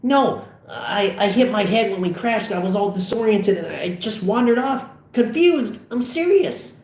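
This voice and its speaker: Casting: man as pleading, stammering teenage boy for a comedy script, high and excitable, in a roomy space. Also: unhurried. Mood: panicked. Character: panicky pleading seventeen-year-old boy.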